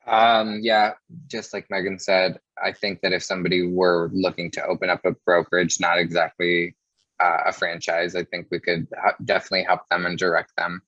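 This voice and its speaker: Deep, even-toned man in muffled, roomy audio. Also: moderate pace. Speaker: interview guest. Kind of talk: casual explanatory talk.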